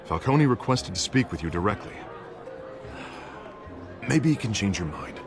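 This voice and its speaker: An ambitious, glib politician in a story-driven game. His low voice swings with lively intonation as he persuades and promotes himself. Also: moderate pace, music in the background. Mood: earnest persuasion. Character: smooth-talking, ambitious male politician, glib and self-promoting. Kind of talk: video game voice acting.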